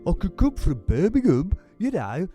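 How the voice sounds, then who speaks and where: A man's voice: high voice, wildly swooping pitch, moderate pace, mellow, faint music behind. A man playing a shape-shifting devil who shows off cheeky British regional voices in an audio drama.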